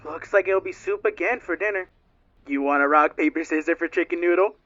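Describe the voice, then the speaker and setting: A woman's voice: lowish voice, fairly quick, a bit bright, highs cut off, close to an everyday voice. A woman playing an upbeat, naive, slangy young male crew rookie in a fan-dubbed space game. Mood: laid-back. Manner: breezy, slangy, boyish. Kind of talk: dramatic fan dub of a video game.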